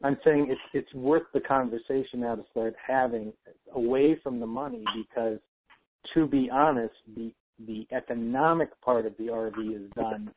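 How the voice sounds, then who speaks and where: A man's voice: medium pitch, moderate pace, slight background noise. A man hosting a casual conference-call discussion, explaining things in an earnest, conversational way. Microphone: telephone. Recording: tinny, phone-line conference call.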